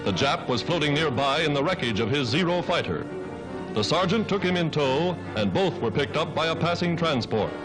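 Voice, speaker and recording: A man's voice: fairly high pitch, animated, moderate pace, music in the background, rough recording. An American man narrating a wartime newsreel in a dramatic, declamatory 1940s style. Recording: vintage 1940s newsreel soundtrack.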